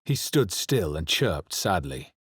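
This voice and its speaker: An actor playing a grave, weary and observant adventurer in a video game, speaking in a measured, dramatic, husky way. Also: male voice, low voice, very animated, no echo, raised voice, studio quality, exaggerated voice. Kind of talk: scripted video game narration and dialogue.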